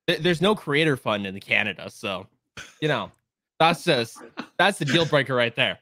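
A man's voice: medium pitch, animated, moderate pace, pushed and bright. A young man on a headset, the guest on a casual livestream, giving short, easygoing replies. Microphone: headset over cap.

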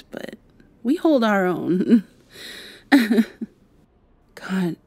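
A woman's voice: mid-range voice, dry recording. A woman playing a shy, insecure half-mosquito vampire girl in an ASMR roleplay, hesitant and confiding. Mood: happy, shy. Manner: hesitant, soft-spoken, confiding.

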